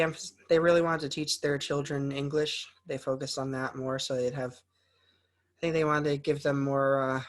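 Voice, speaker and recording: Young adult man, American accent, college student, informal class sharing, hesitant and rambling, mid-range voice. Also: mid-range voice. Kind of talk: informal spoken class presentation. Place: online class video call.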